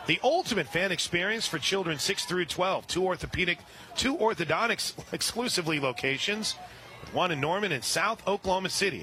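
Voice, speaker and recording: Excited radio softball play-by-play man. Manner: rises sharply and loud on big plays; reads sponsor spots quickly between pitches.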